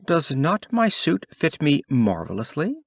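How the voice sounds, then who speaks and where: Man putting on a vain, pompous, animated emperor. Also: a little high, unhurried, gravelly, breathy edge, dry recording, clean studio sound, voice pushed up, full character voice. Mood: pompous, pleased. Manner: pompous, dramatic. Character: vain, pompous emperor hiding his self-doubt.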